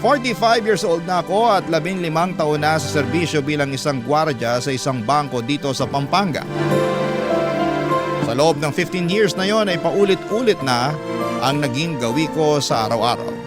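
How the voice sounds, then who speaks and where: Guy reading a listener letter like a radio drama, highish voice, loud music behind. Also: normal speed. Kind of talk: dramatic scripted radio narration. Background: instrumental music.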